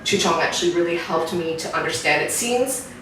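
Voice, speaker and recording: A low-pitched, middle-aged woman with a Canadian accent speaks reflectively, often restarting her sentences. She is recorded from a distance in a very echoey room. Steady background noise sits under her voice. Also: fairly quick. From Singapore. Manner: thoughtful, reflective, with frequent restarts. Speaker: interviewee, fitness client. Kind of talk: casual interview testimonial.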